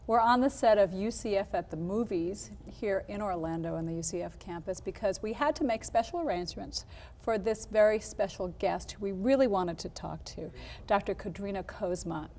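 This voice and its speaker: A woman hosting a televised interview on a campus film set, lively and quick to laugh, often restarting her sentences. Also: mid-range voice. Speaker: TV interview show host.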